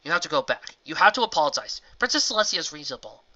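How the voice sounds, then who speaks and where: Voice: medium pitch, sing-song, rapid-fire, bright, forceful tone, muffled recording, voice pushed up, full character voice. A reader voicing a male pony officer, blunt and incredulous. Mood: exasperated.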